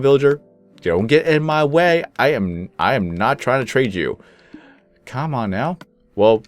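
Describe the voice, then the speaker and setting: A young man with a deep, low-toned voice, slightly sick with some phlegm, giving rambling, easygoing commentary while he plays a game. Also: no echo. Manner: rambling, easygoing, chuckles at himself. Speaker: gaming channel host. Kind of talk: casual gameplay commentary.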